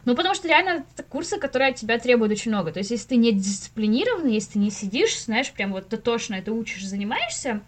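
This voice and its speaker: A woman's voice: fairly high pitch, big pitch swings, fast talker, few pauses. A woman around thirty chatting casually as a podcast guest, retelling stories in slangy speech and acting out people's reactions. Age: late 20s to early 30s. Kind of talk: casual chat, storytelling.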